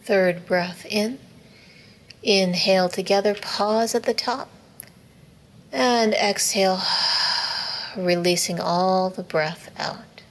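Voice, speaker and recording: A woman teaching a guided meditation in a soothing, measured, instructive way, with soft, breathy phrase endings. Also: mid-range voice, poor audio. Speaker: yoga nidra meditation teacher. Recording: faint background noise.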